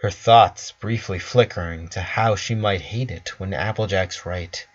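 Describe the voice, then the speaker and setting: A man's voice: low voice, unhurried, slightly rough. A man narrating a story from a script, on a slightly dull recording.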